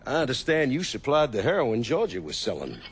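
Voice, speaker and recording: An actor playing a menacing, domineering middle-aged crime boss in a video game, blunt and profane. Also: male voice, medium pitch, huge pitch swings, fairly quick, slight background noise. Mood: gruff, businesslike. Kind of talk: video game cutscene acting.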